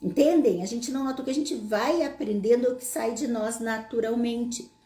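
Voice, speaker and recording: A woman with a Gaúcho accent from southern Brazil talks straight to her audience in a relaxed, explaining way. Her voice is warm and mellow. She is recorded in an echoey room. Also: on the high side, moderate pace. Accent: Gaúcho Brazilian Portuguese. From Brazil, Rio Grande do Sul. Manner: conversational, asks the audience rhetorical questions. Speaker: psychologist host answering audience questions. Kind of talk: casual explanatory monologue.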